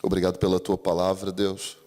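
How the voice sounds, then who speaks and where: A man with a deep, low voice preaches in a church, his Brazilian accent mixed with a European Portuguese lilt. He speaks earnestly and keeps turning to the congregation for an amen. A long reverb from the church hall trails behind every phrase. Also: monotone, fairly quick, warm tone, a little hiss or hum. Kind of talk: church sermon.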